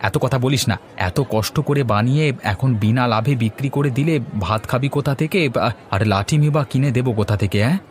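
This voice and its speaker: Man playing an earnest village trader, medium pitch. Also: fairly quick, a little hiss or hum, natural voice. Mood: firm. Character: hardworking village fan seller, devoted father and son. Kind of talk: cartoon voice acting. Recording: music playing behind the voice.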